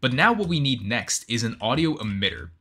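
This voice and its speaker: A man hosting an explanatory software tutorial, walking through each step in an instructional way and stressing key terms. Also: medium pitch, big pitch swings, a little fast. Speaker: tutorial host.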